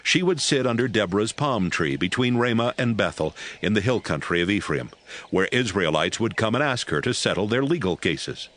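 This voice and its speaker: A man narrating scripture in a steady, measured storytelling voice. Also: lowish voice. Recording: narration over background music.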